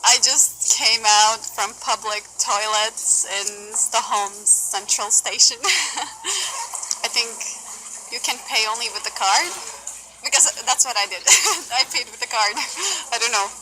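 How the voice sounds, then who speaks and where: A woman's voice: a little high, slow, sharp tone, a little hiss or hum, low-quality recording. A woman, light and offhand, giggling in a street interview. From Sweden. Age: 20s.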